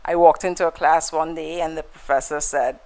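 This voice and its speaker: Low-voiced Guyanese woman telling stories. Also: steady tone, brisk, darkish tone, low-quality recording. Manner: reflective storyteller with many fillers and restarts. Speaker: interview guest. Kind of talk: conversational interview.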